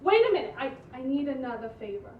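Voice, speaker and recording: A woman's voice: high voice, sing-song, fast talker. A woman playing a brazen, pleading middle-aged aunt in jail in a comedy table read, wheedling and blunt. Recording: echoey, dull, distant room recording, faint noise.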